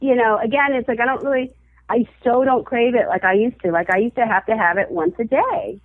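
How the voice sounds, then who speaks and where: A woman guest on a comedy interview, chatting casually and halting often with fillers and restarts. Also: a little high, no room sound. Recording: dry, phone-like narrow sound.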